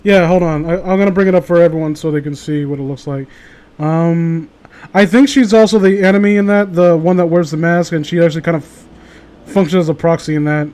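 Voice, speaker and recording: A man's voice: a little high, steady tone, a little fast, a little mellow. A man in casual, rambling gaming chat, swearing freely as he goes. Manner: profane, rambling.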